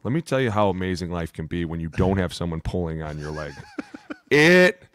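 A man's voice: low voice, sing-song, moderate pace, fairly bright tone. A man chatting casually on a sports podcast in slangy bro talk, close on the microphone. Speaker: former NFL lineman, podcast co-host. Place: indoor room, remote video podcast. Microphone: close podcast microphone with headset.

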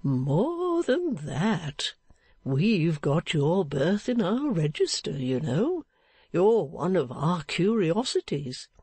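Kindly old church man, done by a female narrator, stout, chatty, very slow, swooping intonation, storybook character. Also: on the low side, big pitch swings, warm tone, slightly rough, no room sound, heavily acted. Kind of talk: dramatized audiobook reading of a classic novel.

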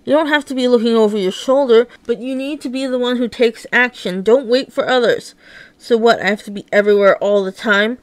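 A woman's voice: on the high side, brisk, no room sound. A woman narrating a dramatised comic read-along, acting out every character and sound effect herself. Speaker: narrator reading a comic aloud.

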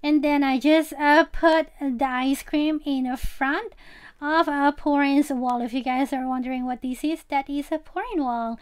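A woman's voice: high-pitched, steady tone, measured pace. A woman giving a casual, chatty game tour as a channel host, speaking directly to viewers.

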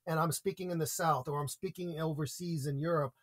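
A man's voice: a little high, steady tone, fairly quick. An American man from a podcast interview, earnestly explaining public speaking with examples and repeated points. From United States.

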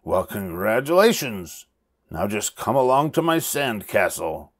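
A man's voice: lowish voice, sing-song, unhurried. A man voicing a small, bossy female witch in a storybook, with a cackling, commanding manner. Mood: bossy, demanding.

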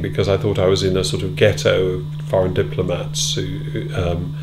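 Man in his fifties, very deep, monotone, measured and thoughtful, loud hum behind. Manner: measured, thoughtful, with many ums and repeated words. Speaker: interviewee, former British Council officer. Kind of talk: reflective interview answers. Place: wood-panelled room indoors.

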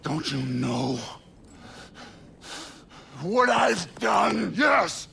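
A gruff, remorseful thunder god for a video game. His speech is extremely slow and thick with gravel, weary yet dramatic. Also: high-pitched, sing-song, pushed and bright, shouting, a little hiss or hum, full character voice. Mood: anguished. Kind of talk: dramatic game cutscene acting.

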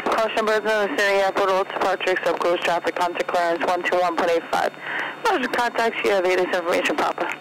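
A woman reads out airport information in a formulaic aviation style. Her voice comes through an aircraft radio. There is clear noise over the broadcast. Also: on the low side, fairly even intonation, fast talker, a bit bright. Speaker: airport weather information announcer. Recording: noisy aviation radio in cockpit.

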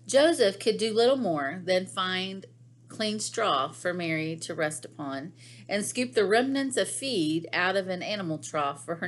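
A middle-aged woman reading devotional material aloud in a measured, deliberate way with pauses between phrases. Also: fairly low pitch. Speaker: devotional reader. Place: small living room at home, indoors.

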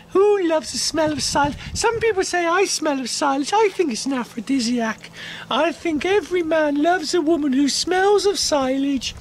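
Man in a wig playing a daft, flirty Swedish supermodel lady, high and swooping, camp fake accent that slips Irish; faint noise behind. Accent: wobbly fake Swedish drifting Irish. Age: middle-aged. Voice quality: high falsetto, camp, breathy ooh-ing. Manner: flirty, rambling, self-mocking. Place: dark barn.

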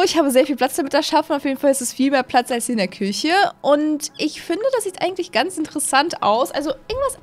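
A young woman hosting a casual gameplay video, chatty and enthusiastic, talking straight to viewers into a close desk mic. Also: high voice. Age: young adult. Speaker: host of gaming videos. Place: small indoor streaming room at home. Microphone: close desk microphone on arm. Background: music.

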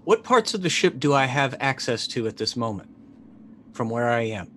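A confused company man, played by a man at a game table, with a medium-pitched voice. He stammers through his questions, exasperated and dryly sarcastic. There is faint noise behind him. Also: very animated, normal speed, a bit bright. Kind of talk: tabletop game role-play.

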